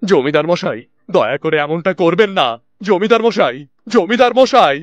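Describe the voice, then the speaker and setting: A man's voice: sits high, lively intonation, unhurried, no echo, muffled recording. A man playing a timid, honest young village labourer in a cartoon, hesitant and pleading as he talks. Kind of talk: Bengali fairy-tale cartoon dubbing.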